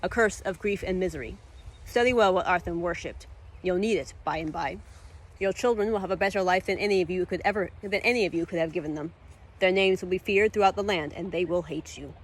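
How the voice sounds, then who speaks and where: A woman voicing a cruel, composed male villain in a story, hushed and dark, with a taunting, deliberate delivery. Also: on the low side, close to an everyday voice. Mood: ominous, menacing. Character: sly, cruel male fire-wielding villain, menacing and composed.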